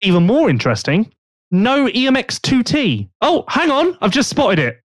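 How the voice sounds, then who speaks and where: A man's voice: very high voice, wildly swooping pitch, normal speed, no room sound, clean studio sound. A man hosting a casual podcast, chatting and joking while he thinks aloud. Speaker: podcast host.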